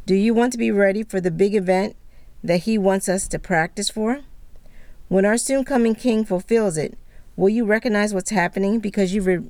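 A woman co-hosting a religious podcast, reading a script in a calm, measured way. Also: medium pitch, no room sound. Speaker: podcast co-host.

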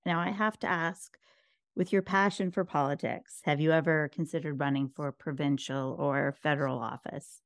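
A woman hosting a podcast interview, her voice quite low and her questions encouraging and affirming. She sounds close to the mic in a dry room. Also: fairly even intonation, moderate pace, dry recording. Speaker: podcast host.

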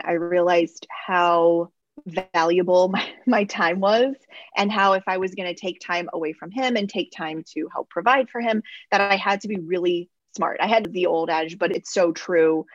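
Low-voiced woman on a dull, dry line. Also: dry recording. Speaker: guest, travel business owner. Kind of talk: casual interview chat.

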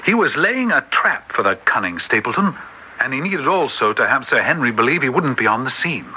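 A man playing an earnest, excitable English gentleman doctor in classic radio drama style, with an English accent. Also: mid-range voice, fairly quick, husky, exaggerated voice. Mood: narrating, tense. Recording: noisy vintage radio recording.

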